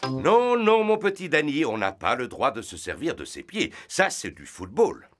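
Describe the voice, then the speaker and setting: A man's voice: fairly high pitch, wildly swooping pitch, normal speed, over music. A man voicing a cheerful, boastful big-bellied daddy pig who coaches children in a cartoon. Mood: encouraging, instructive. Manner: boastful, jovial, instructing.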